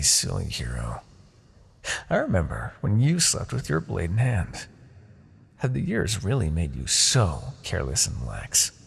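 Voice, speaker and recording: Very deep gravelly voice, smug male demon overlord acted by a man, seductive, taunting, theatrical swoops, fantasy ASMR roleplay. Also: heavily acted. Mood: taunting, intimate. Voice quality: gravelly, breathy. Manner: theatrical, taunting, drawn-out phrasing with soft asides.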